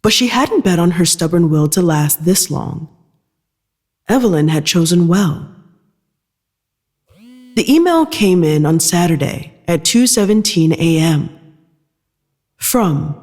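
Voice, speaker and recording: A narrator reading scripted audio fiction in a measured storytelling style. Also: medium pitch, in a large hall.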